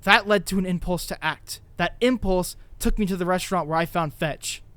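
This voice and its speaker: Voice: mid-range voice, moderate pace, a bit bright, lightly acted. An actor playing an anxious, driven teenage boy who narrates his own story intensely in a dramatic comic dub. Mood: brooding, determined.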